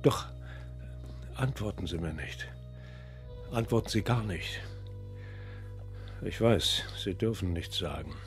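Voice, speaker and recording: An actor playing an old widowed antiquarian in a radio drama, cautious and fearful, speaking in a hushed, halting way. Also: male voice, sits low, animated, faint music behind, full character voice. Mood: fearful resignation. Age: older. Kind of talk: German radio drama acting.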